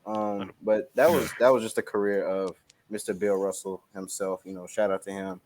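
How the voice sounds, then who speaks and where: A man's voice: low voice, steady tone, normal speed, a little mellow, a little hiss or hum. A man co-hosting a podcast, talking in a laid-back, rambling way. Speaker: podcast co-host. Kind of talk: casual chat.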